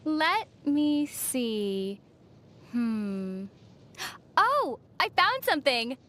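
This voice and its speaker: A woman playing a young female traveler in a video game, gently teasing and reassuring, with a playful, dry manner. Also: high voice, big pitch swings, very slow, a little hiss or hum, exaggerated voice. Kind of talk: video game character dialogue.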